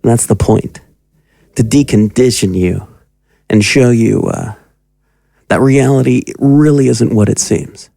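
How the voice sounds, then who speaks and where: A man's voice: mid-range voice, takes it slowly, creaky, long pauses, dark tone, no room sound, studio quality. An actor playing a domineering, cruel occult magus who talks down to his pupil in an audio drama. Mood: menacing, condescending. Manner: condescending, commanding. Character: sinister, domineering male occult magus, cruel and mocking mentor.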